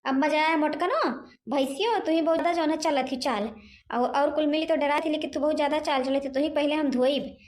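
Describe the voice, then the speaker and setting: Domineering high-voiced witch ghost played by a woman. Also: fairly quick, a little mellow, lightly acted. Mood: threatening, gloating. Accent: Awadhi. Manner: domineering, threatening, taunting. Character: bullying witch ghost claiming her haunted mansion. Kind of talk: cartoon comedy dialogue.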